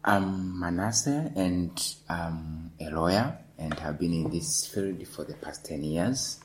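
Man from Tanzania, lawyer, very deep voice, slow and measured, repeats words. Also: slight background noise. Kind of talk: interview answer.

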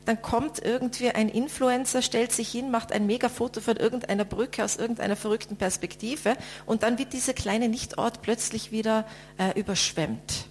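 A woman's voice: a little high, steady tone, normal speed, darkish tone, a little hiss or hum. A woman hosting a casual discussion, talking conversationally with many fillers. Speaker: host. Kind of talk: moderating a discussion.

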